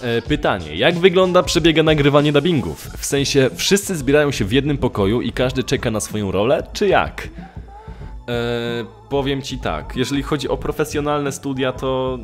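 A young man chatting casually in a vlog, hesitant and often correcting himself, speaking close to a desktop microphone. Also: medium pitch. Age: young adult. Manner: hesitant, self-correcting, informal with occasional swearing. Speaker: YouTuber and amateur dubbing voice actor answering viewer questions. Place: small room at home, indoors. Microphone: desktop condenser mic with pop filter, close. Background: music.

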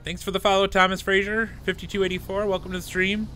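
A man's voice: high voice, little pitch movement, fast, sharp tone, soft background music. A man streaming a police roleplay game, chatting casually in a joking, amused manner.

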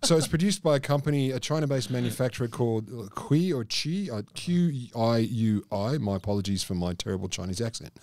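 A man's voice: medium pitch, animated, fairly quick, hardly any pauses, darkish tone, no echo. A man co-hosting a podcast in a small studio, recounting news stories with crude wisecracks. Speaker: podcast co-host. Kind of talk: casual chat, telling news stories. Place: small studio room around a table. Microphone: desk mic on stand, headphones.

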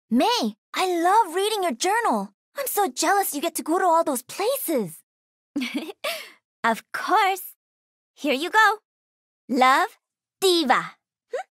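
D.Va, very high-pitched cocky young gamer girl. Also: no room sound, studio quality, heavily acted. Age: young adult. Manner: sassy, confident, energetic. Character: cocky, playful young female pro gamer mech pilot. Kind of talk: video game voice lines.

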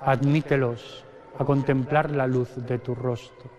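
Solemn priest in a cathedral. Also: male voice, mid-range voice, level tone. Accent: Spanish (Spain). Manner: slow solemn liturgical recitation, parts chanted. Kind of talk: reciting liturgical prayers at Mass. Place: large stone cathedral. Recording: long cathedral reverb.

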